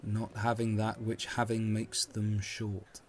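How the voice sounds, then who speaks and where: A man's voice: on the low side, monotone, slow pace, slight background noise. A man playing a melancholy, lovesick young nobleman in a Shakespearean audio drama.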